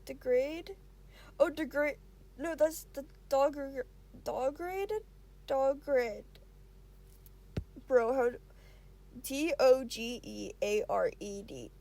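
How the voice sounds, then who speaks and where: A woman's voice: high-pitched, darkish tone. A woman reading fan fiction aloud as an amateur narrator, stumbling over words now and then and correcting herself. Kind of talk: expressive storytelling narration.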